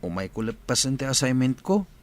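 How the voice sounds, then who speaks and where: A man's voice: medium pitch, very animated, a little fast, natural voice. A man playing an earnest, dreamy teenage schoolboy in a village comedy radio drama. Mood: earnest, determined.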